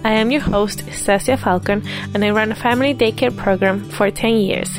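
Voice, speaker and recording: A woman hosting a parenting podcast who asks questions eagerly and reacts quickly to her guest. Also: medium pitch, over music. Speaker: podcast host.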